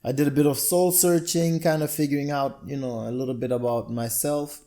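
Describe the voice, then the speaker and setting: An easygoing man from Zambia chats as a podcast guest, telling his story loosely with fillers. His voice is mellow and warm, at a middle pitch. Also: fairly even intonation, a little fast. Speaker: podcast guest, amateur comedian. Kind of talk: casual podcast chat.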